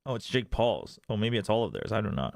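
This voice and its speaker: A man's voice: lowish voice, very animated, fast talker, gravelly, darkish tone, no echo, clean studio sound. A man streaming a watch party, chatting casually with his viewers and laughing often. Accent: slight non-native accent in Korean. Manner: laughs often, chatty with chat, switches into Korean. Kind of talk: casual livestream chat.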